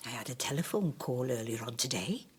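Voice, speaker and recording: Spiteful middle-aged scold for radio drama: extremely husky, hissing, low, with lively swings in pitch. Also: female voice, brisk, heavily acted. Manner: sharp, accusatory. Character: spiteful, suspicious, scolding housekeeping sister, a woman.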